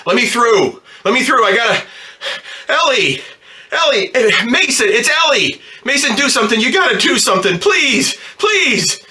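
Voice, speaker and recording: A man's voice: very high voice, big pitch swings, moderate pace, pushed and bright, full character voice. A man playing an embittered, distraught ambulance driver in slangy, stammering old-time radio drama style. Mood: frantic, pleading. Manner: slangy working-class talk, stammering when upset.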